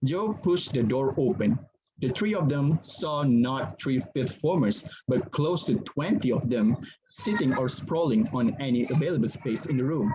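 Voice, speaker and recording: A man reads a story aloud as a dramatic narrator. His voice sits at a medium pitch, and the recording is dull, with some background noise. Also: lively intonation, moderate pace.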